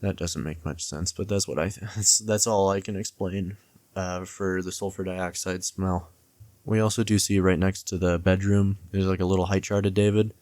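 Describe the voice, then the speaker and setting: Man, deep voice, little pitch movement, casual commentator, hesitant, rambling, self-correcting, dry sound. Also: fairly even intonation, moderate pace, darkish tone, no echo. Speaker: video essayist reviewing and theorizing about a horror series. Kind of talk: casual, loosely scripted commentary.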